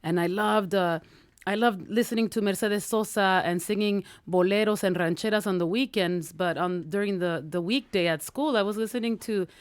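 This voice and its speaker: A woman's voice: medium pitch, normal speed, clean tone. Woman chatting casually, mid-range voice. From Los Angeles. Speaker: lead singer being interviewed. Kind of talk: casual interview chat. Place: radio studio.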